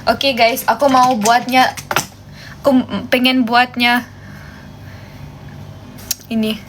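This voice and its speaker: High-pitched woman, casual chat. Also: flat delivery, slow pace, noisy background. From Germany. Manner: chatty, switches between languages. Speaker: livestream host. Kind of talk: casual chat with viewers while doing makeup.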